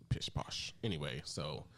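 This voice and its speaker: A man's voice: very deep voice, huge pitch swings, slow, vocal fry, a bit bright. A man in his thirties co-hosting a podcast, laid-back and joking, laughing a lot as he chats. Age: 30s. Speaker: podcast co-host. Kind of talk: casual chat.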